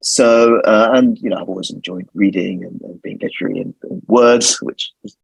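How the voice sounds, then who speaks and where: London guy, 40s, low husky voice, restarts a lot mid-sentence, dry close mic. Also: moderate pace, dry recording, rough recording. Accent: London English. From London, England. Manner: thoughtful, repeats words while searching for phrasing. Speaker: guest lawyer. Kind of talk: casual interview answers.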